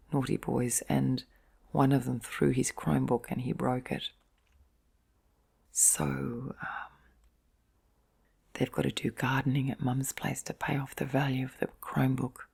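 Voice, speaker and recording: A middle-aged woman in a soft, whispery, breathy voice, giving a gentle, reflective bedtime chat in an intimate ASMR-like style. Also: low voice. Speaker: host of relaxation and sleep channel.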